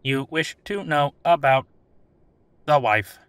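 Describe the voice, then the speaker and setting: A man voicing an old sentient AI in an audio drama, formal and literal, with stilted, deliberate phrasing. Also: a little high, fairly bright tone, smooth, no room sound. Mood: measured, curious. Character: old sentient AI computer, formal, literal, dryly attempting humor. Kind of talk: scripted audio drama interview.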